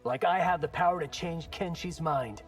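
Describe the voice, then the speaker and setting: A man's voice: fairly high pitch, moderate pace, over music, close to an everyday voice. A man voicing a cocky, wry young fighter who trades casual quips in video game dialogue. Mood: wry, defiant.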